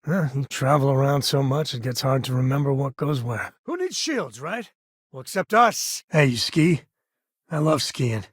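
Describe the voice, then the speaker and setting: An actor playing an easygoing, wry spacefaring explorer and devoted father in a video game, with a husky, raspy voice and a casual, folksy way of talking. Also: mid-range voice, expressive, normal speed, dry recording, clean studio sound. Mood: wry, friendly. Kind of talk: video game character dialogue.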